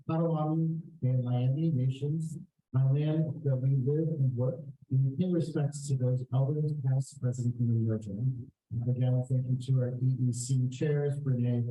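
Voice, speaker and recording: Halting man moderating a forum. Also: mid-range voice, mellow. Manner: halting, with frequent uh fillers. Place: community meeting room. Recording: echoey room.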